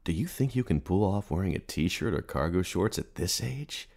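A very deep, husky male narrator, acted by a man in a radio play. He is merciless and accusing, delivering literary second-person lines with theatrical emphasis and big swings in pitch. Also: lively intonation, moderate pace, darkish tone, heavily acted. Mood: taunting, scornful. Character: merciless, taunting inner narrator addressing a fading middle-aged man.

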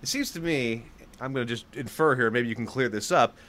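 A man's voice: mid-range voice, a little fast, a bit bright. Man asking long, hedged questions. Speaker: interviewer host. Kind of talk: interview questions.

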